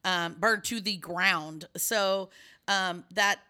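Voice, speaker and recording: A woman's voice: on the low side, slow, sharp tone. A middle-aged woman with a scratchy voice, hosting a casual chat and explaining at length with many restarts and fillers.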